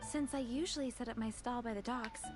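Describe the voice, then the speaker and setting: A woman voicing a polite, wry young market stallholder in a video game, speaking in a measured, articulate way. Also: on the high side, music in the background, close to an everyday voice. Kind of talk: video game character acting.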